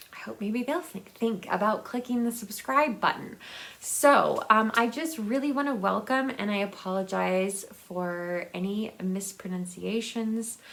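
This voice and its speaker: A woman hosting a book vlog, chatting casually and personally to viewers, often halting and repeating words as she searches for them. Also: mid-range voice, creaky, fairly bright tone. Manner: informal, personal address to viewers. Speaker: host of a book video channel. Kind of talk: casual chat to camera.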